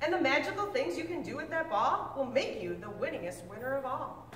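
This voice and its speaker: A woman reading a picture book aloud with lively, expressive delivery, now and then stumbling and correcting herself. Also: fairly quick, a little hiss or hum. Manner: expressive storybook reading with big pitch swings. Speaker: reader. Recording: echoey room, mic at a distance.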